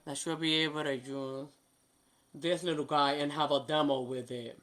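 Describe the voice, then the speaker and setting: A woman's voice: deep voice, fairly even intonation, moderate pace, fairly bright tone. A woman from New York whose first language is Spanish, with a Spanish-influenced New York accent, chatting casually with viewers as she demonstrates nail art on a livestream. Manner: chatty, talks to viewers by name, laughs often. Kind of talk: casual live chat while demonstrating.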